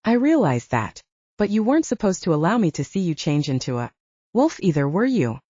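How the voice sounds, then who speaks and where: A woman's voice: fairly low pitch, very animated, brisk, warm tone, no room sound, dull audio. A woman voicing a plucky, argumentative young woman with a wry, persistent manner in an audiobook romance. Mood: persistent, teasing.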